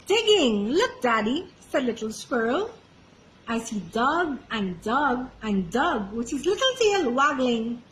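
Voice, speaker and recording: A woman storyteller reading a children's picture book aloud in an expressive, animated way. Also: a little high, slow pace, a little hiss or hum, rough recording. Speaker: library storyteller.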